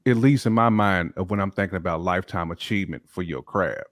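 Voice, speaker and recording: Low-voiced man giving casual opinions. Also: quick pace, dry recording, studio quality. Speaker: panelist on a hip hop discussion show.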